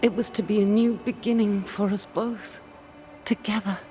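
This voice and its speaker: A woman's voice: medium pitch, fairly even intonation, moderate pace, warm tone, soft background music, natural voice. An actress playing the wistful, lovelorn ghost of a woman in a dramatic video game. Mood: longing, sorrowful.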